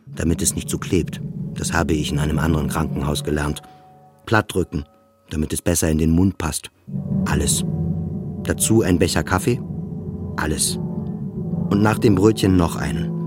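An actor playing a weary, depressed psychiatric patient in a literary radio play, telling his story in halting, repetitive phrases. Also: deep voice, over loud music. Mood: flat, weary. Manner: halting short phrases, repeats words, often drops to whispers.

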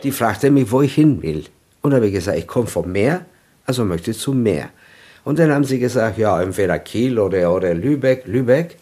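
Older Sicilian man, halting German. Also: fairly low pitch, animated, mellow, breathy edge. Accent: Italian. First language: Italian. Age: 70s. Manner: hesitant, with fillers and searching for words. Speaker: interviewee, former guest worker. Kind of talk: reminiscing in an interview. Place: his own home.

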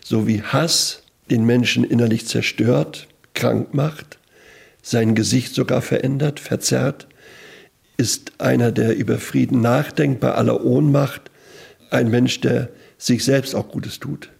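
A man with a raspy, gravelly voice answering as a clergyman in an interview, earnest and pausing to think. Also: medium pitch, fairly even intonation, darkish tone. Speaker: auxiliary bishop.

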